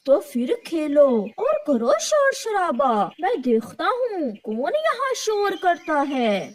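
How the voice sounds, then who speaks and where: A woman's voice: very high voice, big pitch swings, takes it slowly, exaggerated voice. A woman voicing a quarrelsome, bullying male crow in a children's cartoon. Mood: threatening.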